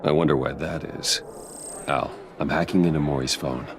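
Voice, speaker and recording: An actor playing a brooding vigilante billionaire in a video game, grave and measured. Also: male voice, very deep voice, fairly even intonation, music in the background. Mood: guarded, focused. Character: brooding younger billionaire vigilante, guarded and resolute. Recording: clear background noise and ambience.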